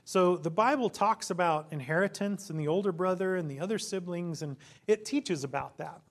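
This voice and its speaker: A hoarse male pastor preaching an earnest sermon, his voice sitting a little high. He talks in a preacherly way and often pauses with ums. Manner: prays in a soft, low voice.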